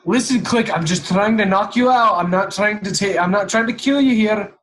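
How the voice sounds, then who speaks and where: A man's voice: high-pitched, normal speed, a bit bright, a little hiss or hum. A man chatting casually as a player in a tabletop game, blunt and slangy, with some swearing.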